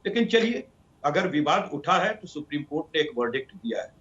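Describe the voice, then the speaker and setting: A high-voiced man argues as a party spokesman. He speaks like an orator in long, piled-up sentences, and the remote connection is dull. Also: sing-song, a little fast, fairly bright tone. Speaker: political party spokesman, debate guest. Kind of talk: political debate argument. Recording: dull, band-limited remote feed.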